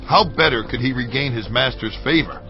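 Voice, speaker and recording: A man playing an angry, suspicious army commander in an audio Bible drama, forceful and demanding. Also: mid-range voice, a little fast, lightly acted. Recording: muffled dramatized recording with faint music.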